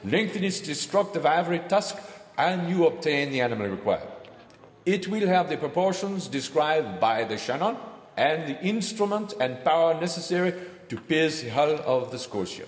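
A man's voice: on the high side, sing-song, moderate pace. A man playing a pompous, formal professor delivering a public lecture in an audio drama. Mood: insistent. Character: male professor proclaiming his sea-monster theory to assembly. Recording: echoing large hall reverb.

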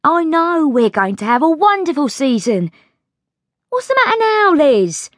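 A woman voicing a quick, excitable, sharp-tongued young chambermaid who talks bossily in a storybook reading. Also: high voice, no echo, clean studio sound, voice pushed up.

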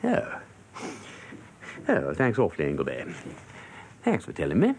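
An actor playing a genial, airy gentleman copywriter in a British radio drama, with an upper-class English accent and an affable, breezy manner. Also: deep voice, huge pitch swings, measured pace, a little hiss or hum, exaggerated voice. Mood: amused, breezy.

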